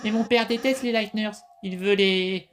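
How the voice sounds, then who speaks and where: A woman's voice: medium pitch, fairly even intonation, measured pace, over music, lightly acted. A woman voicing a naive, cheerful young boy creature in an amateur video game comic dub, eager and childlike. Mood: hesitant, worried.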